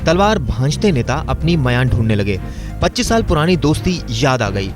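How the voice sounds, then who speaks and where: A man narrating a television news report in a measured, rhetorical way. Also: medium pitch, very animated. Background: music bed.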